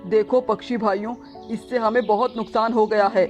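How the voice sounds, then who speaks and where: A woman's voice: a little high, fairly even intonation, normal speed, darkish tone, lo-fi sound, faint music behind, close to an everyday voice. A woman voicing a reassuring, earnest father bird and husband in a cartoon moral tale. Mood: resolute.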